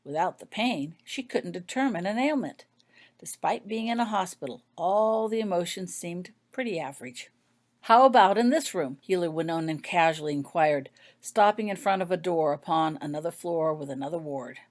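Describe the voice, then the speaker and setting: Female author-narrator for scripted fiction, pitched a little low. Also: lively intonation, moderate pace, darkish tone. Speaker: author reading own fan fiction.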